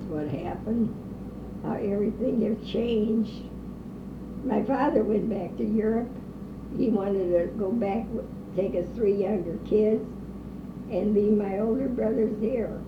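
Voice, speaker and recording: An older woman being interviewed, slowly reminiscing about her old neighborhood with pauses, hesitations and the odd cough. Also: medium pitch. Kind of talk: oral history interview. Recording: distant roomy lo-fi recording with noise.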